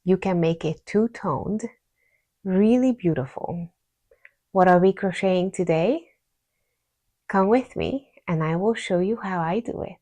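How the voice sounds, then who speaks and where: A woman's voice: lowish voice, measured pace, pauses often, mellow, dry recording. A woman with a Hungarian accent hosting a crochet tutorial, explaining each step patiently and counting stitches aloud.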